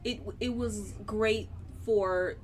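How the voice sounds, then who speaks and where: Woman, book co-host, informal chat, medium pitch, slightly distant mic. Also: steady tone, slow pace, slight background noise. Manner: informal, conversational asides. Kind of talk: casual book discussion.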